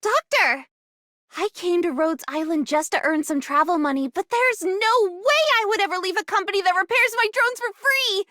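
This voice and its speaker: A woman voicing an excitable, skittish little traveler girl for a video game, full of exclamations. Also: very high-pitched, moderate pace, dry recording, studio quality, exaggerated voice. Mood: eager. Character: squeaky, excitable, skittish small drone-owning traveler girl.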